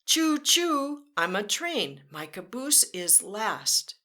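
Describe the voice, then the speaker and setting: Slow, sing-song woman reading to kids. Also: mid-range voice, sing-song, sharp tone. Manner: slow, sing-song, playful sound effects; talks directly to children. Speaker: children's storyteller. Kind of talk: read-aloud storytime.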